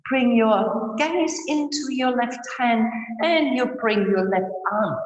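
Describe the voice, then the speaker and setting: A woman leading guided breathing and gentle yoga as an instructor, in short, measured, soothing phrases with long pauses. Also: on the high side. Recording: roomy echo, slightly muffled sound.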